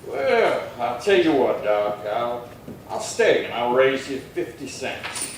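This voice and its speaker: A calm, laconic marshal of the Old West played by a man, with a mid-pitched voice and dry delivery. It is radio-play acting, picked up from afar in a very echoey room. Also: takes it slowly. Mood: dry, wry. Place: live theatre stage performance. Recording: very roomy, distant stage pickup, faint noise.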